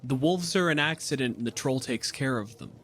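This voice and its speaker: A man's voice: mid-range voice, brisk, fairly bright tone, voice pushed up, lightly acted. A man voicing fearful, pleading tavern villagers in a tabletop fantasy game.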